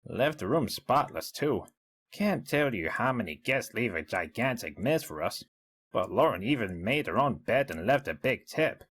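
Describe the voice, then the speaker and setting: Audio story: male reader as wry small-town B&B owner, husky, mid-pitched, very animated and chatty. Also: normal speed. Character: chatty, mildly skeptical small-town bed-and-breakfast owner. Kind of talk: first-person diary narration in an audio story.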